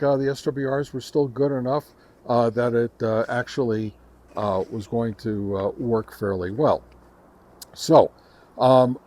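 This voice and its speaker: A man hosting a casual product review, speaking haltingly to his viewers and repeating words for emphasis. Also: mid-range voice, darkish tone. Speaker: host reviewing radio antennas.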